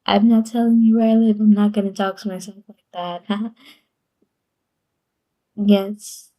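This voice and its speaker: A woman's voice: medium pitch, level tone, a little slow, warm tone. A woman streamer chatting casually on a livestream, rambling and giggling often between sentences.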